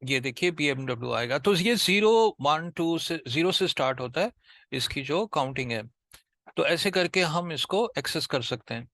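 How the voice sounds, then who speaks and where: A man with an Indian accent giving an informal step-by-step tutorial as a programming tutor, repeating himself haltingly and mixing in English technical terms. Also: on the high side, animated, fairly bright tone, no echo.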